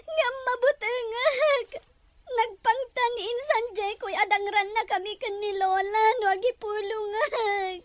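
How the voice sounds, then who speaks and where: A woman acting a sobbing, very high-voiced young girl. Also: steady tone, measured pace. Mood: frightened, tearful. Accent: Ilocano. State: crying, sobbing. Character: tearful young woman pleading for belief after abuse. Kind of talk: melodramatic Ilocano radio drama acting.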